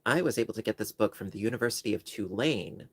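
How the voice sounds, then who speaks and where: A man's voice: on the low side, lively intonation, quick pace, slightly rough, dry recording. A man from New York with a New York accent, rambling and joking at his own expense while chatting casually on a livestream. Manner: rambling, self-deprecating, joking. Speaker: livestream host.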